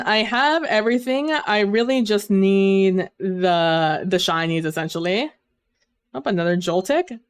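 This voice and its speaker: A woman's voice: medium pitch, clear, resonant tone, dry recording. A woman streaming a game on a headset mic, chatting casually and rambling with fillers while losing her voice. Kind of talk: casual gaming stream chat.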